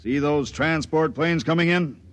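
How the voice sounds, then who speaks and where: An actor playing a brusque, contemptuous military officer in a 1950s radio drama, with a commanding delivery. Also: male voice, fairly high pitch, a little slow, lightly acted. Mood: gruffly dismissive. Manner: barking, commanding. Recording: noisy vintage radio recording.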